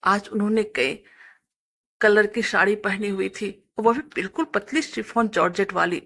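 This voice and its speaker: A woman's voice: medium pitch, normal speed, a bit bright. A woman reading a scripted first-person story as a narrator.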